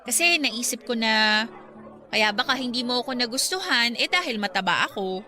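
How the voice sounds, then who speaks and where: An actress playing a hopeful but easily hurt young woman in a Tagalog radio romance, expressive and pleading. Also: on the high side, moderate pace, a faint crowd. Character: lovelorn young woman, newly slimmed, hopeful yet hurt.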